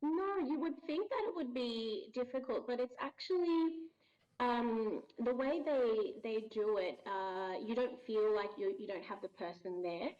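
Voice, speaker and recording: A woman in her thirties, a voice actress, answering interview questions thoughtfully with many pauses and restarts. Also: a little high, darkish tone, lo-fi sound. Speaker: voice actress guest.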